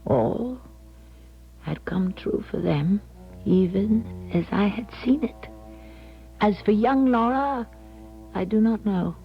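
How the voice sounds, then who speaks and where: An actress playing a grave, haunted older female clairvoyant, narrating a 1970s radio drama in a measured, solemn way. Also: mid-range voice, creaky. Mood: grave, resigned. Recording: dull 1970s radio broadcast, music behind.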